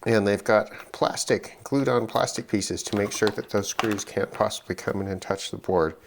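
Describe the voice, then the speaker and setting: A middle-aged man giving unscripted commentary on an electronics teardown, halting and musing as he thinks aloud. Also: lowish voice, vocal fry, slight background noise. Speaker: electronics hobbyist presenter.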